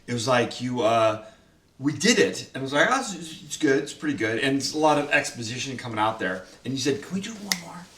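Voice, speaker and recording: Laughing, animated man in a roomy space. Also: mid-range voice, brisk. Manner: animated, laughing often, many fillers. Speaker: host, director of the radio play. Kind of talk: casual roundtable chat. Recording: roomy sound, mic at a distance.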